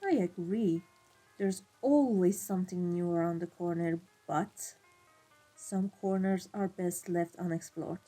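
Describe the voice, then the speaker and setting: Husky, dark-voiced female vampire mafia boss, acted by a woman; cold, imperious, measured ASMR roleplay over music. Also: on the low side, poor audio. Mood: intrigued, probing. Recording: music behind the voice. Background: classical background music.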